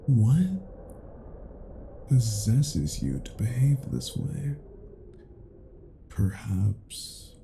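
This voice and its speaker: A man playing a cruel, haughty vampire in a dramatic roleplay, speaking in a deliberate, menacing and condescending way. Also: lowish voice, gravelly, dark tone, a little hiss or hum, exaggerated voice. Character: cruel, haughty male vampire toying with his captive. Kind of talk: dramatic roleplay audio acting.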